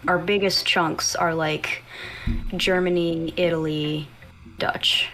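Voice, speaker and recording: A woman's voice: on the low side, fairly even intonation, takes it slowly, a bit bright, poor audio. A woman from the United States chatting casually as a livestream guest, effusive and rambling. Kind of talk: casual chat.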